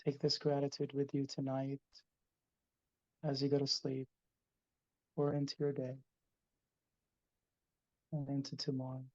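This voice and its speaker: A man hosting a live guided meditation over a video call, speaking slowly and softly. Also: medium pitch, monotone, no echo, muffled recording. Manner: slow, soft, soothing delivery. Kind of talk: guided meditation, then casual chat.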